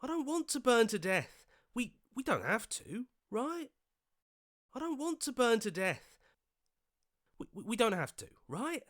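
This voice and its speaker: A man's voice: extremely high pitch, big pitch swings, unhurried, long pauses, a bit bright, dry recording, clean studio sound, exaggerated voice. An actor playing an anxious, earnest young male hero in a video game, hesitant and pleading, sometimes stammering. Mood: frightened.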